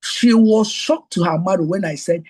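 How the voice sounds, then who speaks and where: A woman's voice: moderate pace, dark tone, breathy edge. A woman with a Nigerian accent hosting her show, giving a teaching talk mixed with personal stories and repeating her main points to listeners on a group call. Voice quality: high-pitched. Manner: digressive, repeats key phrases, talks straight to listeners. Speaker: host.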